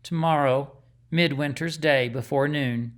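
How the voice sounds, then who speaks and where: A man's voice: on the high side, a little slow, natural voice. Man voicing a stern winged messenger. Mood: stern warning. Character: ominous winged messenger of a sorceress.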